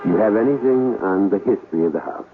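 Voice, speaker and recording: An actor playing a composed, authoritative investigator of the supernatural in a radio drama, measured and formal. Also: male voice, on the low side, lively intonation, narrow, tinny audio, loud music behind the voice. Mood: probing. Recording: noisy old radio broadcast recording.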